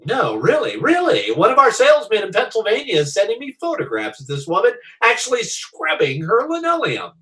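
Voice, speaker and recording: A man's voice: high-pitched, huge pitch swings, a little fast, hardly any pauses, pushed and bright, exaggerated voice. A man playing a chummy, earnest salesman in old-time radio comedy style, full of eager sales patter. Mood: eager, selling. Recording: distant from the microphone.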